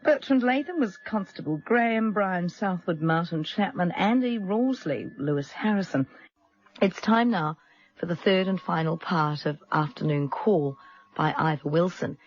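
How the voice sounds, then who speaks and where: Husky, higher-pitched male radio announcer. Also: expressive, unhurried. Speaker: radio drama continuity announcer. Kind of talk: formal scripted announcement. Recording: slightly muffled radio recording.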